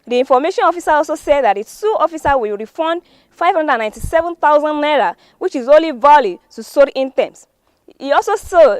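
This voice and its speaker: A woman's voice: high voice, no room sound. A woman with a Nigerian accent presenting a newspaper review, reading formally from the stories with occasional stumbles. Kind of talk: scripted news reading. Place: studio.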